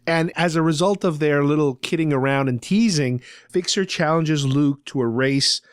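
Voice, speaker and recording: Man, fairly high pitch, casual storytelling recap, explanatory, conversational, podcast host, no room echo. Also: moderate pace, clean tone, studio quality.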